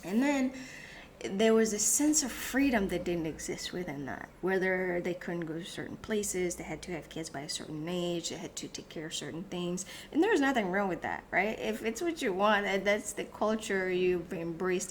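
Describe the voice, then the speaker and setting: A woman's voice: fairly low pitch, lively intonation, normal speed, slight background noise. A woman born in Haiti and raised in the United States, hosting a reflective solo talk, thinking aloud with many restarts and fillers. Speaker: host.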